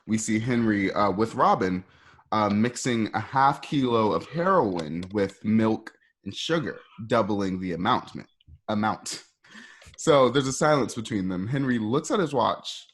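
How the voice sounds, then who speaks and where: A man's voice: fairly low pitch, a bit bright, slightly rough. A man narrating screenplay action for a radio play in an informal, hesitant way, with conversational asides. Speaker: narrator reading stage directions.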